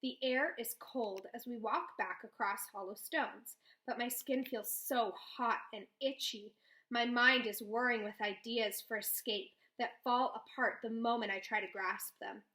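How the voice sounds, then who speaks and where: A woman reading a children's novel aloud, with expressive first-person storytelling. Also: fairly high pitch, unhurried. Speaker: read-aloud host.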